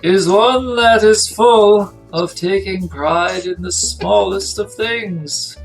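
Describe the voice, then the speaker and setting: A man voicing a slow, overly proper male butler with a drawn-out, formal delivery. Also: high voice, gravelly, soft background music, pitched above the performer's own voice, heavily acted, low-quality recording. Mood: solemn.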